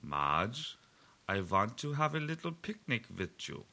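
A man playing a calm hypnotist doctor in a dark comedy sketch, coaxing slowly and formally. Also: fairly low pitch, exaggerated voice. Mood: soothing. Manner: slow, soothing, formal coaxing.

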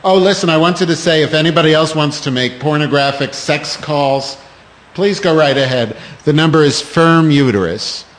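A man's voice: on the high side, normal speed, slight background noise. A man hosting a crude, joking casual chat show and taking calls from listeners. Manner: joking, takes live calls. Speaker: host.

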